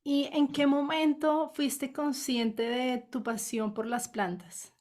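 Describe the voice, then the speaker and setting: A woman's voice: high-pitched, flat delivery, takes it slowly, darkish tone. A woman interviewer who asks short questions and replies with brief, friendly prompts.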